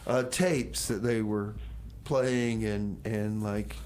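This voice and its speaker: A man's voice: lowish voice, fairly even intonation, slow, darkish tone. A man hosting a radio show with casual, rambling banter, often repeating himself as he announces songs and chats. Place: radio studio.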